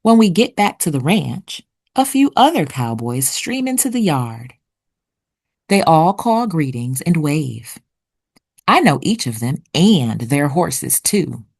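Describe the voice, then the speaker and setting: A woman reading a children's picture book aloud expressively, with deliberate storytelling pauses. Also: low voice, sing-song, vocal fry, darkish tone, slightly rough. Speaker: children's read-aloud narrator.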